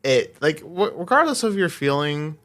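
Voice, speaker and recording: A woman co-hosting a podcast, chatting casually about a film in an informal, expressive, often incredulous way. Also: low-pitched, normal speed. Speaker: podcast co-host.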